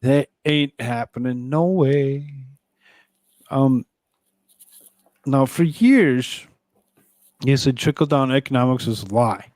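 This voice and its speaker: A man's voice: mid-range voice, slow pace, pauses often, darkish tone, dry recording. A man hosting a political talk show, reading news items aloud and commenting on them in a rambling, conversational way.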